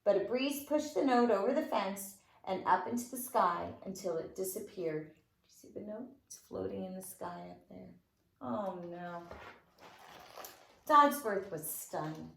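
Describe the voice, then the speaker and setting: A woman's voice: medium pitch, expressive, a little slow, creaky, fairly bright tone, a little hiss or hum. A woman reading a storybook aloud to young children as a teacher, expressively and with dramatic emphasis. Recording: roomy, echoing room sound.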